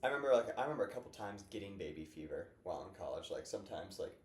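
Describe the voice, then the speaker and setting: A man's voice: low-pitched, very animated, very fast. A young man in his twenties hosting a casual podcast chat, rambling and upbeat, full of fillers. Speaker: podcast host. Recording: echoey room with faint noise.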